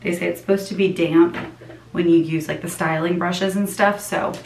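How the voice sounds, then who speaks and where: A woman's voice: fairly low pitch, fairly even intonation, moderate pace, a little hiss or hum. Chatty young woman vlogging, roomy sound. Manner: chatty, lots of "like" fillers. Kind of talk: casual vlog chat. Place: small apartment bathroom and car.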